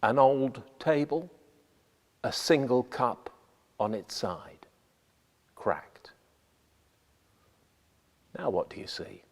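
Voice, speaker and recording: A man giving scripted instructional narration as a radio playwright, measured and deliberate with emphatic phrasing. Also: mid-range voice, big-room sound.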